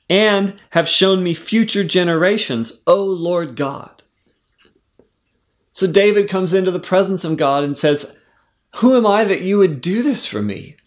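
Earnest man teaching from the Bible. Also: high voice. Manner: earnest, conversational, with many fillers and restarts; reads verses aloud slowly. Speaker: preacher teaching scripture.

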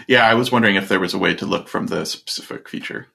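A man's voice: low voice, fast talker, gravelly, pushed and bright, husky. A man co-hosting a podcast, chatting casually and rambling with many fillers and restarts. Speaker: podcast co-host. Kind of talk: casual tech chat.

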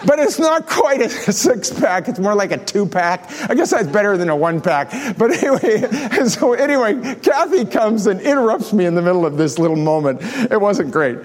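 A man in his sixties delivers a sermon, moving from scripture reading to self-deprecating stories. His voice sits high for a man. Long reverb from the hall trails each phrase. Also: big pitch swings, moderate pace, few pauses, a little hiss or hum. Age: 60s. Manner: storytelling, self-deprecating humor. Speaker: pastor.

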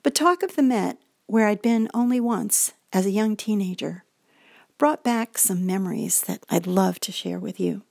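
An older American woman reading a scripted personal story, gentle and reflective, as a feature presenter. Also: medium pitch, moderate pace, creaky, dry recording, clean studio sound. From United States.

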